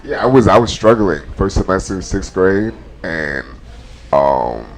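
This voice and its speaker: A man's voice: low-pitched, big pitch swings, measured pace, gravelly. A young man from a Trinidadian and Dominican family, chatting casually and reflectively with friends and laughing easily. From Trinidadian and Dominican family, grew up in South Florida. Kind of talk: casual podcast chat.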